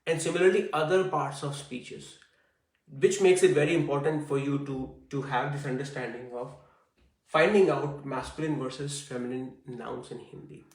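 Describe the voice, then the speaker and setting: A man's voice: medium pitch, steady tone, normal speed, roomy sound, distant mic, low-quality recording. A man teaching a language class online, talking conversationally with many fillers. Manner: conversational, frequent fillers and "I see". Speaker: Hindi teacher.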